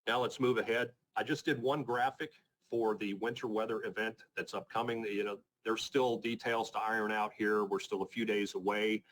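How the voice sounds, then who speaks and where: A man presenting an informal technical weather briefing as a forecaster, speaking in a measured, matter-of-fact, plain-spoken way. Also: fairly low pitch, steady tone, a bit bright, low-quality recording. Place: conference call presentation.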